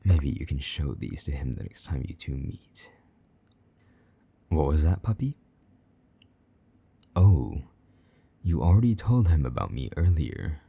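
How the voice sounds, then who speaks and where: A man voicing a possessive, jealous vampire knight in an intimate audio roleplay, speaking in a low murmur that drops into soft whispers, teasing and a little gruff. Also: sing-song, unhurried, pauses often, dry recording, exaggerated voice. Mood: tender.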